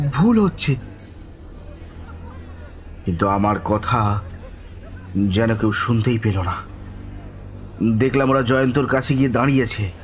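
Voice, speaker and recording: An actor playing a bewildered, frightened man telling a village ghost story in the first person, with a halting, suspenseful, dramatic delivery. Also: medium pitch, natural voice. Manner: halting, breathy repetitions, suspenseful, pleading in dialogue.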